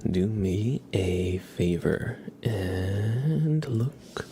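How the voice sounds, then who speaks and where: Male ASMR host for a livestream, whispery and unhurried, pitch moving freely between hushed phrases. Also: expressive, slight background noise. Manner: soft whispering, spelling names out slowly letter by letter. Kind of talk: whispered ASMR, thanking viewers.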